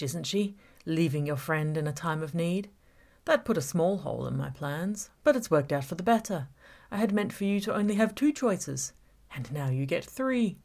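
A woman's voice: sits low, darkish tone. A woman voicing a taunting, manipulative male villain in an audiobook, gloating and mock-reasonable. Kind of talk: audiobook character voice.

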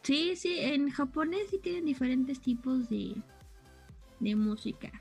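Young woman with a high voice, relaxed conversational co-host who searches for words. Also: a little slow, soft background music. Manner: chatty, searches for words, trails off. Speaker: co-host explaining anime terms. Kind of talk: casual chat.

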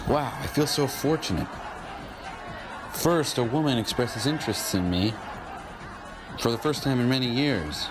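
A man playing an earnest, lovelorn gentleman who dreams of space, speaking in a heartfelt, formal way in a comic radio play. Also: medium pitch, unhurried, long pauses, over music, pitched above the performer's own voice, close to an everyday voice, poor audio. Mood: earnest, apologetic.

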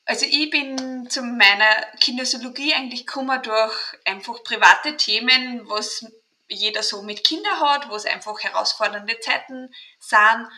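A woman with an Austrian accent speaks chattily as an interview guest, with dialect touches. Her voice is bright and sits a little high. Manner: chatty, restarts, dialect words like "mei". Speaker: guest, mental trainer. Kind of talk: interview conversation.

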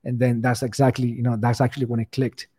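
Guest man, warm husky tone, earnest, full of "you know"s. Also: mid-range voice, level tone, fast talker. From Cuba. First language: Spanish. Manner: earnest, many "you know" fillers and restarts. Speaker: podcast guest. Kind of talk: casual interview talk.

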